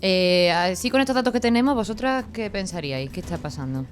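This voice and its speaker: Co-host, woman. Middle pitch, casual question-asking chat over clear background music. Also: lively intonation, brisk. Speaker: podcast co-host.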